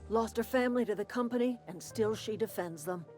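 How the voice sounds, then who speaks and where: A woman's voice: a little high, brisk, a little mellow, faint music behind, natural voice. A woman voicing a righteous, stern woman leader in a video game, grave and formal as she persuades. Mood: indignant, persuasive. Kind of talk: video game dialogue acting.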